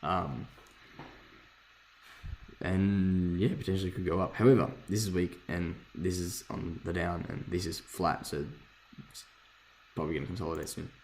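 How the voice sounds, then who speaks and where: A man with a hoarse voice casually thinking aloud while reviewing trading charts, rambling, swearing freely and correcting himself. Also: low voice, fairly even intonation, moderate pace, darkish tone, a little hiss or hum, poor audio. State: hoarse, lost voice from screaming. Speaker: forex trader reviewing charts.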